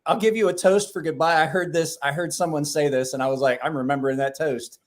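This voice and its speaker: A man's voice: a little high, fairly even intonation, fast. A man talking casually as a livestream guest, rambling with many restarts and swearing.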